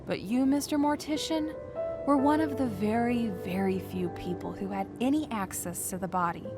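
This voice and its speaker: A woman playing a brisk, sardonic rogue agent in a comic dub, casual and businesslike. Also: on the high side, loud music behind the voice, close to an everyday voice. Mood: pressing, accusing. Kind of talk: dramatic comic dub acting.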